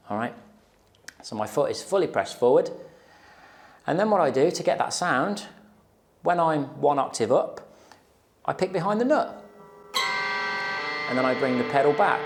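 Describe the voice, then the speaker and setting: A man's voice: medium pitch, expressive, moderate pace, pauses often. A man in his thirties with a mid-range voice presents an instructional guitar lesson. He explains things informally, with little check-in tags, speaking into a lapel mic indoors, with music at times. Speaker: guitar teacher. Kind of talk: instructional tutorial talk to camera. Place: small plain indoor room.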